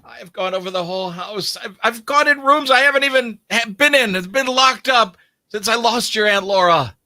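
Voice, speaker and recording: An actor playing a frail, distraught old uncle in a radio play, stammering and helpless. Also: male voice, very high-pitched, normal speed, sharp tone, heavily acted. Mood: distraught, confused.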